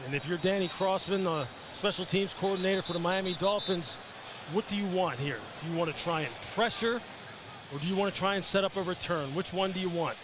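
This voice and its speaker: A man giving forceful sports analysis on a game broadcast, posing rhetorical questions. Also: high voice, a little fast, music in the background. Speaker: TV game analyst, former player. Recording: noisy stadium broadcast audio. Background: stadium ambience.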